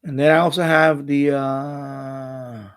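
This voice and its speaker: A man hosting a casual live stream, rambling and repeating himself in halting, unscripted sentences. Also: medium pitch, flat delivery, clean tone. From New York City, USA.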